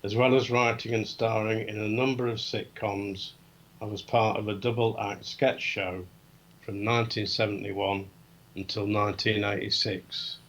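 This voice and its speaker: A man reading out quiz questions in a steady, matter-of-fact way on a casual live show. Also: on the low side, normal speed, rough recording. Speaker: quiz guest.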